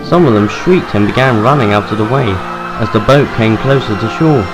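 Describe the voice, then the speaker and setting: A man's voice: lowish voice, brisk, over music, rough recording. A man reading scripted story narration as the narrator of an audio drama.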